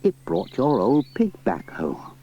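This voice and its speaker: A man's voice: mid-range voice, wildly swooping pitch, measured pace. A man puts on a modest village postman from a children's storybook. He is friendly and apologetic, with hesitant phrases that rise and fall a great deal. The old cassette sounds slightly dull. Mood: matter-of-fact. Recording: muffled, hissy cassette transfer.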